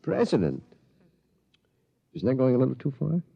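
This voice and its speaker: Bewildered father, animated, dull old-radio sound. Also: mid-range voice, normal speed, vocal fry, breathy edge, dry recording, full character voice. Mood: doubtful, wondering. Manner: hesitant, incredulous. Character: bewildered, cautious middle-aged father of a teenage boy. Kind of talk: 1950s radio drama acting. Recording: dull vintage radio recording.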